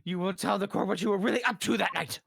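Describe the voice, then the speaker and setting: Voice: medium pitch, fast talker, creaky, slight background noise. Player doing an earnest young defense lawyer. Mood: stern. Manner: forceful, then stumbling over his words. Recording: music playing underneath.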